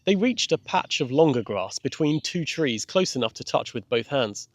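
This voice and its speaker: Male storyteller, medium pitch, gentle measured read of a children's novel, very little room sound. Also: expressive, no echo. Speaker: author and narrator. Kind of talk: scripted children's audiobook narration.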